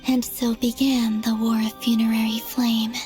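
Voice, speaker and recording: A woman playing a melancholy young moon goddess in a game cutscene, speaking softly and gently with some hesitation. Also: a little high, little pitch movement, normal speed, close to an everyday voice. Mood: grave, questioning. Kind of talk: dramatic game cutscene acting. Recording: background music under the voice.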